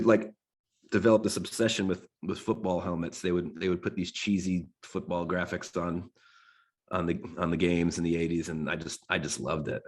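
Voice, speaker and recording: A deep, low-pitched American man in his forties talks casually to a class. He sounds nasal from a cold and clears his throat often. He rambles, restarts his sentences and leans on fillers. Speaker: guest designer giving a talk. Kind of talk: casual talk to a design class.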